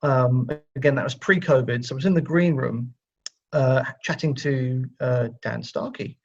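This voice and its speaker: A man with a slightly dull, lo-fi sound talks casually as an interview guest. He speaks in a halting, conversational way, often doubling back on his words in a middling-to-low register. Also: darkish tone. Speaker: guest writer.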